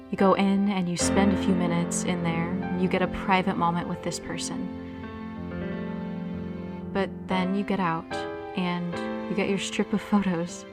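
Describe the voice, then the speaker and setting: A woman's voice: on the low side, close to an everyday voice. A woman playing a sincere, vulnerable young girlfriend in an audio drama. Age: young adult.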